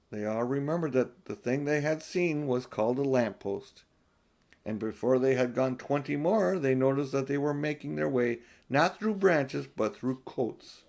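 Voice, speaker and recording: Older man, audiobook reader, storybook narration, middle pitch. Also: a little mellow.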